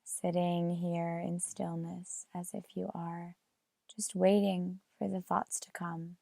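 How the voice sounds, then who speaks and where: Female meditation guide, very unhurried with long pauses, plenty of vocal fry. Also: on the low side, level tone, dark tone, no echo, clean studio sound. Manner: measured, with long pauses between phrases.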